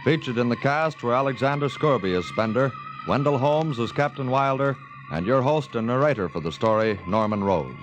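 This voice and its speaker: Casting: male announcer, medium pitch, formal declarative vintage radio delivery, music behind. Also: lively intonation, moderate pace. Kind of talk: 1950s radio announcing, scripted credits. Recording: vintage 1950 radio recording.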